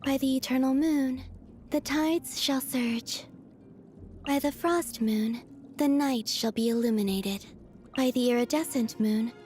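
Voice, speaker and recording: A woman voicing a serene, otherworldly young moon maiden from a video game, soft and breathy, with a gentle, naive curiosity. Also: on the high side. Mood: solemn incantation. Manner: slow, dreamy, innocently curious. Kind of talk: video game character voice lines.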